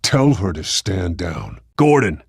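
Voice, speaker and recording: Super deep brooding vigilante for a game, terse and menacing, really dry sound. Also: male voice, wildly swooping pitch, slow pace, creaky, slightly rough, no room sound, heavily acted. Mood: stern. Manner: terse, controlled, commanding. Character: brooding masked male vigilante and billionaire, commanding, menacing. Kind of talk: video game voice acting.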